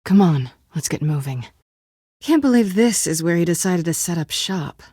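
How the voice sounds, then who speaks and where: A husky lowish cool cat burglar lady for a game, sly n teasing, super dry close sound. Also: expressive, moderate pace, mellow, dry recording. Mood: guarded, matter-of-fact. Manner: sardonic, teasing, understated. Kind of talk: naturalistic video game dialogue acting.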